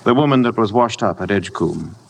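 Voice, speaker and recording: An actor playing a tormented, fatalistic husband in a 1950s radio drama, speaking in a grave, weary, measured way. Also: male voice, fairly low pitch, expressive, vocal fry. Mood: grave confession. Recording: noisy old 1950 radio broadcast.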